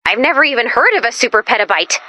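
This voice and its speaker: A woman voicing a perky, quirky young female robot sidekick in a video game, chatty and expressive with an anxious, earnest streak. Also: on the high side, fast, no echo, exaggerated voice. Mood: anxious, awkward. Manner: expressive, quippy, nervously chatty. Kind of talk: video game character voice lines.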